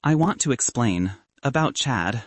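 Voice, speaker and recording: A man's voice: mid-range voice, expressive, measured pace, no room sound. A man voicing an apologetic young ex-girlfriend in an audiobook, explaining herself earnestly. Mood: earnest, pleading. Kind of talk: audiobook character dialogue.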